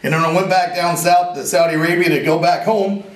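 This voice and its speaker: A man in his forties with a raspy voice, an inventor talking in a casual, rambling and earnest way, sometimes joking about himself. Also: a little high, steady tone, fairly quick. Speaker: inventor and veteran interviewee. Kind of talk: casual interview talk. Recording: roomy echo, from a distance.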